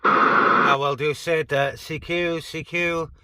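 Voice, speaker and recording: A man's voice: on the high side, steady tone, slow pace, heavy background noise. A man operating CB radio, chatting casually and making calls in a hesitant, conversational way with radio phrases. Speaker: CB radio operator, net helper.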